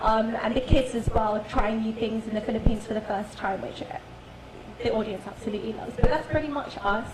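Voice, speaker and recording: A bubbly woman in her thirties with a fairly high voice introduces her family vlog on a panel. Her voice echoes around a hall. A crowd chatters in the background. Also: brisk. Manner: friendly, bubbly, laughs between phrases.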